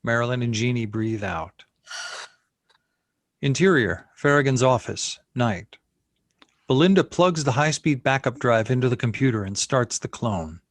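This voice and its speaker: Male narrator, scripted stage directions, screenplay table read, middle pitch, dry recording. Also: animated, a little slow, dry recording.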